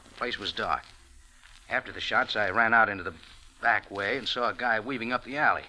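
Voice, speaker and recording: An actor playing a tough, flippant American cafe owner who narrates an old-time radio mystery in terse hard-boiled style. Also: male voice, fairly low pitch, fairly quick, sharp tone, rough recording. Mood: cagey.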